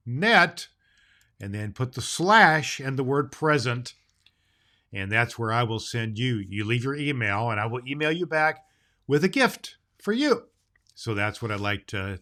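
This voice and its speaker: A man's voice: medium pitch, sharp tone. A man playing a jolly, kindly Santa Claus, chatting to children in a folksy, rambling way on a festive storytelling podcast.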